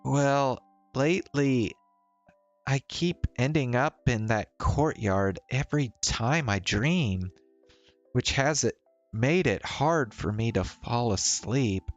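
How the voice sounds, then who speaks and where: A man's voice: mid-range voice, animated, slow pace, no echo, lo-fi sound, soft background music, voice pushed up. A man voicing a tired, teasing and troubled young woman in a visual novel, with a casual, hesitant delivery. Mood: weary, uneasy. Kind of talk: visual novel dialogue read-aloud.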